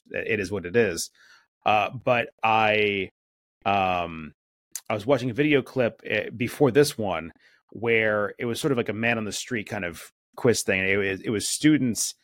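A man with a fairly low voice co-hosting a podcast in relaxed conversation. His speech is full of fillers and small restarts, and the sound is dry, with no room echo. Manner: conversational, frequent fillers, says he swears a lot. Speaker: podcast co-host. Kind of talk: casual discussion.

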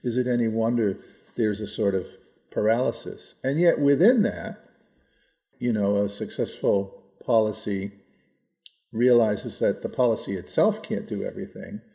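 Gravelly, low-voiced older American man. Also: mellow. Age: 60s. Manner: measured, qualifies his points as he goes. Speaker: podcast co-host. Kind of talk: reflective policy discussion, conversational.

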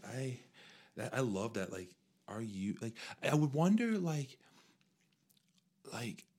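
A young man hosting a casual podcast conversation, earnest and relaxed, saying "dude" and "like" a lot. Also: mid-range voice. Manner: earnest, uses "dude" and "like" often. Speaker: podcast host.

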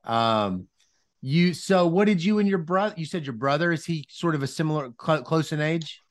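A man from Mississippi, casually interviewing a guest with halting, informal questions. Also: on the high side, lively intonation. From Mississippi, United States. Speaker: podcast host, interviewer.

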